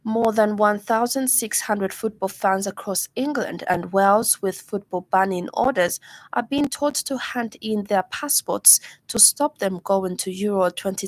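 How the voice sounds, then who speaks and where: A woman reading the evening news bulletin in a radio studio, in a measured, formal newsreader's delivery. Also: medium pitch, no echo. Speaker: news reader.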